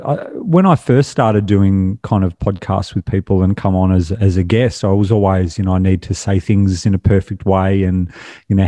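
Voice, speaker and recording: A man's voice: sits low, warm tone, no echo. An Australian man speaking as a podcast guest in a casual, candid, self-deprecating conversational way.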